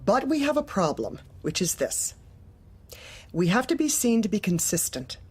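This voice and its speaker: A woman's voice: medium pitch, big pitch swings, normal speed, breathy edge. A woman playing a manipulative, officious corporate manager in a darkly comic audio drama, speaking with bland politeness and veiled menace. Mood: falsely sympathetic. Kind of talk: audio drama acting, dark comedy.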